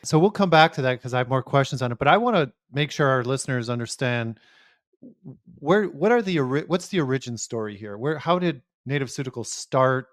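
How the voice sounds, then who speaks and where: A man co-hosting a podcast, asking a brisk string of questions. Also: mid-range voice, expressive, no echo, studio quality. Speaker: podcast co-host. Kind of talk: podcast interview.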